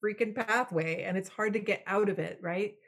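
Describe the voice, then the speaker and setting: A woman's voice: mid-range voice, moderate pace, breathy edge. A woman from Belgium whose first language is French, a musician talking expressively as a podcast guest. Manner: expressive, talks with her hands. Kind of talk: casual conversation.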